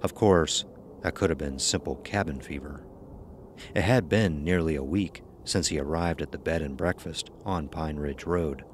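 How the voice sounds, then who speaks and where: A man narrates a horror audio drama as a scripted story. His voice is very deep, and there is faint noise behind him. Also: moderate pace.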